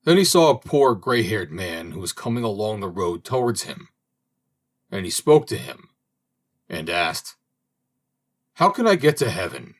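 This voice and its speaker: A man reading an old fairy tale aloud as an audiobook narrator, in a slow, expressive manner. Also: on the low side. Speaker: audiobook reader. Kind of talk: storybook narration.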